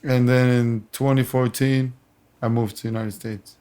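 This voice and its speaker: A man's voice: medium pitch, flat delivery, moderate pace, dark tone. Man, boxer, casual interview, simple phrasing, restarts, easy laugh. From Germany. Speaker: professional boxer, guest.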